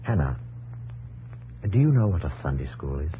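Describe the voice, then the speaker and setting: An actor playing an earnest, determined industrial pioneer and devoted husband in a 1950s radio drama. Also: male voice, rumbling low, sing-song, normal speed, narrow, tinny audio. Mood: tender, hushed. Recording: old radio broadcast with faint hum.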